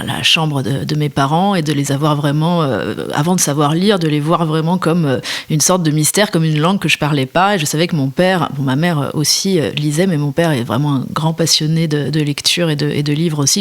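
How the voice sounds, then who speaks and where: A woman, an author guest, speaking in a halting, reflective way with frequent fillers. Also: deep voice. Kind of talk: reflective interview answer.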